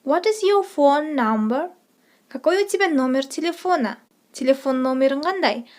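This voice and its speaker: High-voiced woman teaching a language lesson. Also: expressive, a little mellow. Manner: didactic, repeats phrases slowly for learners. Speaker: language teacher, channel host. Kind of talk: scripted trilingual language lesson.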